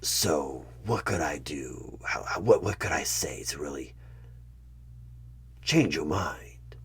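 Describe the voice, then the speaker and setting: Man playing a whispering, very deep, sly demon. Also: expressive, slightly rough, heavily acted. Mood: sly, defensive, persuasive. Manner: slow, drawn-out, insinuating, persuasive. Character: sly evil male demon recruiter, coaxing and tempting. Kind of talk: horror ASMR one-sided audio roleplay.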